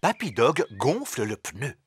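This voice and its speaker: A man narrating a cartoon in a clear, storybook way. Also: medium pitch, very animated, unhurried, background noise. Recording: loud background music.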